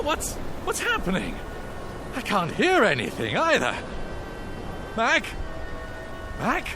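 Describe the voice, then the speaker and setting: An actor playing a panicked British World War One pilot in a radio drama, exclaiming urgently to himself. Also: male voice, very high-pitched, heavily acted. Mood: lost, bewildered. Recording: loud music over the voice.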